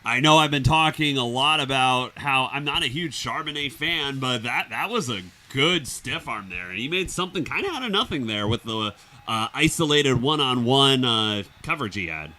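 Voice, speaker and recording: A man's voice: medium pitch, lively intonation, normal speed, sharp tone. A man with an upstate New York accent, co-hosting a live stream and giving casual play-by-play commentary on a football game. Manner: easygoing, chatty, with filler words.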